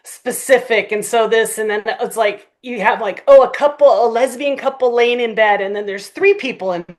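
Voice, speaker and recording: A woman chatting casually as a podcast guest, telling anecdotes in a breezy, animated way. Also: fairly high pitch, moderate pace. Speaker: podcast guest, audio drama creator. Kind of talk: casual chat.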